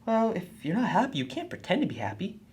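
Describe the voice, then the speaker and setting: A woman voicing an earnest, husky boy puppet who explains things helpfully in a children's puppet show. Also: medium pitch, wildly swooping pitch, fast, darkish tone, full character voice.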